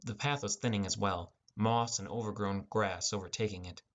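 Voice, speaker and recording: Low-voiced young man narrating fantasy. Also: fairly even intonation, normal speed. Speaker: audiobook narrator. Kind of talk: first-person scripted fantasy narration.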